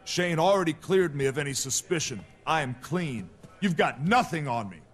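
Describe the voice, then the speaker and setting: Man playing a defensive, higher-pitched pro wrestler. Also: expressive, normal speed, faint music behind, close to an everyday voice. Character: defensive, indignant male pro wrestler with military past. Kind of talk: video game story-mode voice acting.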